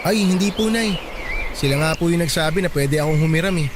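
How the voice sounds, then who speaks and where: A man's voice: fairly high pitch, quick pace, noisy background, close to an everyday voice. A man playing a respectful, patient young working son in a Tagalog radio drama, polite and earnest. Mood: reassuring, hopeful.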